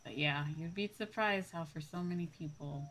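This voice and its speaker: A woman's voice: on the low side, moderate pace, a bit bright, faint music behind. A woman livestreamer chatting casually and informally, thinking aloud as she goes. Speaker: livestreamer and VTuber host.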